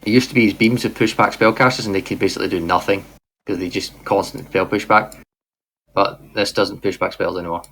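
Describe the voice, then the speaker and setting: A man's voice: fairly low pitch, steady tone, fairly quick, fairly bright tone, a little hiss or hum. A man leading a game raid over voice chat, explaining the fight step by step. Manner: instructive, patient explaining. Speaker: raid leader. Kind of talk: casual gaming voice chat.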